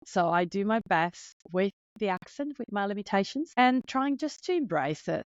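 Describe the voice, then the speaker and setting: A woman's voice: medium pitch, expressive, moderate pace, no room sound, highs cut off. A woman in her thirties from Finland with a Finnish accent, hosting her own podcast in an earnest, openly nervous, heartfelt way. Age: 30s. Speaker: podcast host. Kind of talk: solo podcast monologue.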